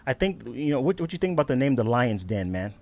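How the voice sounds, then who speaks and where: A man's voice: mid-range voice, fast talker. A man hosting a casual interview in a slangy, bantering way, often checking that the listener follows. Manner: slangy, excitable banter with repeated check-in phrases. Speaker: interview host.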